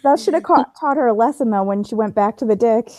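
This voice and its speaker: A woman's voice: on the high side, fast talker, a crowd in the background. A woman talking casually as a book club member in a group discussion over a video call.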